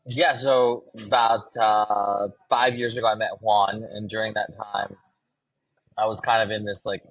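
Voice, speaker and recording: A man from Los Angeles, a brand co-founder, talking casually in a podcast interview and pausing on ums and restarts. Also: fairly low pitch, no room sound, highs cut off. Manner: relaxed, fills with ums and restarts.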